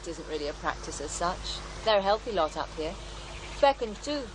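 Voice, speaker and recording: An actress in an audio drama playing a middle-aged commune healer, wry, earthy and matter-of-fact, gently teasing. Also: female voice, fairly low pitch, lively intonation, brisk, close to an everyday voice. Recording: noisy outdoor ambience bed.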